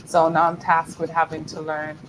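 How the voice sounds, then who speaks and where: Brief: American woman guest, animated storyteller, noisy call line. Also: deep voice, moderate pace. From United States. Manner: animated, laughs often, quotes people in voices. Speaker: interview guest. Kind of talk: casual storytelling interview.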